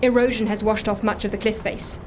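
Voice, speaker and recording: A woman's voice: mid-range voice, steady tone, brisk, darkish tone, a little hiss or hum, close to an everyday voice. A woman playing a reserved, literal-minded and hospitable island recluse in an audio drama, polite and matter-of-fact. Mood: reserved, dry. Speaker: actor in an audio fiction series.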